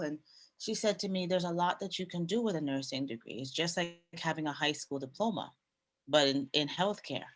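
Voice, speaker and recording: A woman who grew up in Canada, a nurse and business owner, telling her story in a relaxed way with pauses and fillers. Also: on the low side. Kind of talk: casual interview storytelling.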